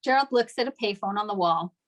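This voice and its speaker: A woman serves as narrator at a script table read, reading out the action in scripted narration. Her voice is mid-pitched. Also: lively intonation, fast talker. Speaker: narrator of stage directions.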